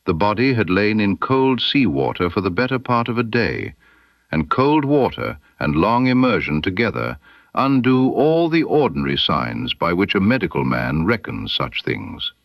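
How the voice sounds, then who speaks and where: Deep-voiced man reading a literary mystery. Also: sing-song, no room sound. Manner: measured, formal storytelling delivery. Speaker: audiobook narrator. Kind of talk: scripted literary mystery narration in Victorian first person.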